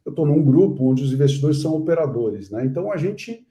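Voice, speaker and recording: A man's voice: fairly high pitch, lively intonation, fairly quick, dark tone. A middle-aged man from Brazil, a guest investor talking earnestly in a live interview, with many fillers and restarts. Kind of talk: casual live interview chat.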